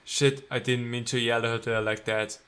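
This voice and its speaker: A man's voice: mid-range voice, flat delivery, normal speed, a bit bright. A man doing let's play commentary, reading game text aloud and reacting with sarcasm and exasperated swearing. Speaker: let's player.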